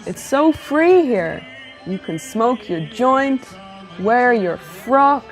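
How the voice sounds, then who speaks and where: Unhurried, theatrical female show host. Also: fairly high pitch, sing-song, soft background music. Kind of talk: scripted show presenting.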